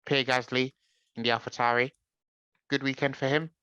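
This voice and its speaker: Forceful, dry-sounding male podcast host. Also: medium pitch, little pitch movement, clear, resonant tone, no echo, dull audio. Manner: brisk, leads the talk and sets up each topic. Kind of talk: casual podcast chat.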